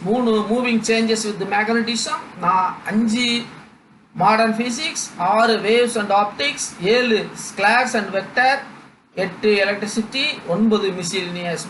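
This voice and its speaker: High-pitched Tamil man lecturing in echoey room. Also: fairly bright tone. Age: middle-aged or older. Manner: didactic, reading out numbered lists with frequent check-in questions. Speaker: exam coaching teacher. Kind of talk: instructional lecture to students. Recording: echoey room with background noise.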